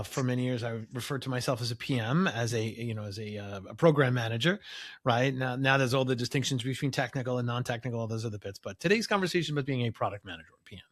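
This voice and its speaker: A man's voice: medium pitch, fast talker. Chatty podcast host, a man. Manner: friendly, rambling with fillers. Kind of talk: casual interview hosting.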